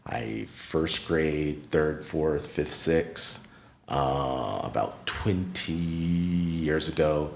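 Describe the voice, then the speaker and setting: A middle-aged American man, a podcast guest and retired teacher, telling stories of his life in an unhurried, reflective way that turns lively when he recounts encounters. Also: extremely low pitch, slight background noise. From United States. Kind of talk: casual interview storytelling. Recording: roomy echoey room.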